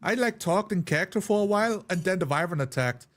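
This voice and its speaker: A man in a casual discussion as a game player, talking haltingly as he thinks aloud. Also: sits high, lively intonation, fairly bright tone. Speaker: tabletop role-playing player.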